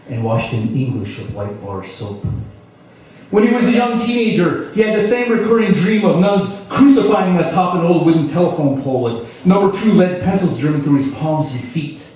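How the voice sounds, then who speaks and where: A man from South Dakota performing a spoken-word story live, with a wry, dramatic delivery that swings from loud to hushed. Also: fairly high pitch, slight background noise. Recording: echoey room from far off.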